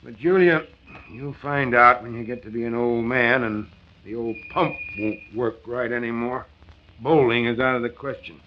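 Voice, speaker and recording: A man's voice: medium pitch, steady tone, normal speed, slight background noise, natural voice. Ailing ex-salesman in his fifties, discouraged but wryly folksy, played by a male actor; 1940s radio acting, muffled old transcription. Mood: wistful. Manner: folksy, wry, resigned. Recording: dull, band-limited 1940s radio transcription.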